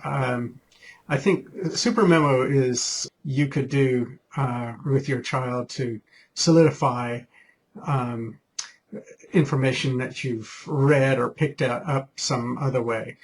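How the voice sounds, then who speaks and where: A man who homeschools his son, explaining a study session on camera in a halting way with many ums and uhs. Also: mid-range voice, steady tone, slight background noise. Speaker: homeschooling parent.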